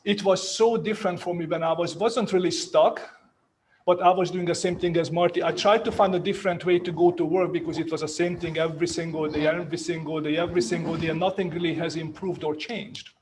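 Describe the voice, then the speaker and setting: A man's voice: fairly high pitch, fairly even intonation, quick pace, a little hiss or hum. Chatty Hungarian-accented man in his fifties. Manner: chatty, self-mocking, leans on "right?" tags. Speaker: real estate agent on a panel. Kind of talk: casual panel storytelling.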